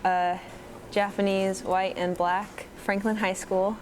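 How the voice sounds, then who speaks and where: An eighteen-year-old woman, a high school senior, answering interview questions in a relaxed, measured way. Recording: clear background noise.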